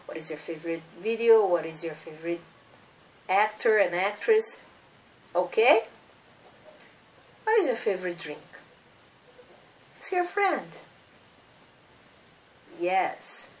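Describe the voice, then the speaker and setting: A woman's voice: lowish voice, expressive, slow, pauses often, distant mic, slight background noise, poor audio. A woman in her thirties from Brazil with a Brazilian Portuguese accent, teaching English as a second language and drilling simple questions for learners to repeat. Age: 30s. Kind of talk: slow classroom drilling of questions.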